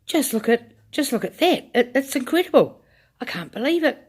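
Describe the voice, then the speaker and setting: A woman's voice: medium pitch, animated, a little fast, darkish tone, voice pushed up, natural voice. A woman voicing an eager young boy who exclaims in wonder. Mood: amazed.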